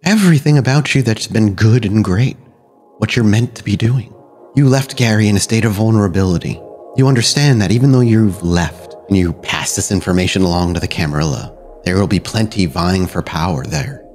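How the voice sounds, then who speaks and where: Man as undead vampire sire, low-pitched, mocking, grandiose, rhetorical needling, roleplay podcast, soft music underneath. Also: brisk, close to an everyday voice. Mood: goading, grand. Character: dead vampire sire as mocking, goading mirror reflection. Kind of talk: tabletop roleplay acting.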